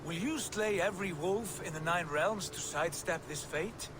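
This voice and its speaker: A man voicing a firm, high-voiced warrior god. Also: natural voice. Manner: firm, reasoning, measured. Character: steady male warrior god, calm, pragmatic. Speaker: game character. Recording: game audio with steady noise.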